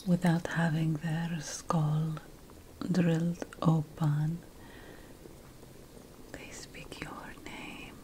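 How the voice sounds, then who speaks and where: A woman whispers a fantasy roleplay in ASMR style as a playful, self-important witch and potion master, breathy and deliberate, with pauses between phrases. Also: low voice, little pitch movement. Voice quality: breathy, mostly unvoiced whisper. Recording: faint background noise.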